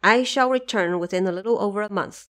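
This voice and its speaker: A woman's voice: medium pitch, quick pace, fairly bright tone, close to an everyday voice. Woman as a humble young monk guy, earnest and pleading, audio drama. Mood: earnest, polite. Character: earnest, devout eighteen-year-old male monk.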